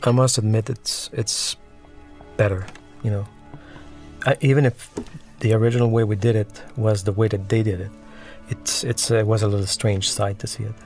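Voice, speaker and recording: Male director for commentary track: low, strongly gravelly, reflective, informal, music underneath. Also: level tone, normal speed, a little mellow. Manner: reflective, searching for words. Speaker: film director giving audio commentary. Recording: music behind the voice.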